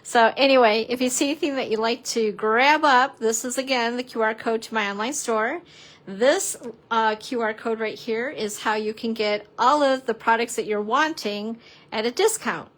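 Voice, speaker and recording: A woman teaching a live craft demonstration, chatty and prone to laughing at herself, a little hoarse as she's losing her voice. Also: fairly high pitch, moderate pace, fairly bright tone. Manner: chatty, giggly, self-deprecating, exclamatory. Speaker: papercrafting instructor.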